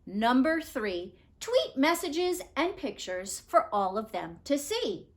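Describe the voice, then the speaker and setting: A woman's voice: sits high, big pitch swings, slow pace, exaggerated voice. A woman voicing an eager, oblivious little male bird who talks in a chirpy, boastful way for a storybook. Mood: excited. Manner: chirpy, boastful, self-absorbed.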